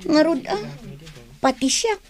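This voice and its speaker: Polite, earnest younger working woman, bright high register, radio drama. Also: expressive, measured pace. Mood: aggrieved. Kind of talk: Ilocano radio drama acting.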